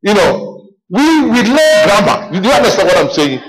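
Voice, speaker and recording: A middle-aged Ghanaian man preaches in a high voice, spinning personal stories into his sermon. He talks animatedly, doubles back on his words and calls out "Amen" to his listeners. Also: normal speed, poor audio. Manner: animated storytelling, repeats himself, calls "Amen".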